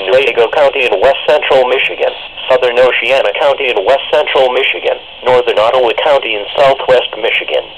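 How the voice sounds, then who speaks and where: A man's voice: medium pitch, a bit bright. Noisy weather radio man reading formal bulletins. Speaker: automated weather radio announcer. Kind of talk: scripted emergency weather bulletin.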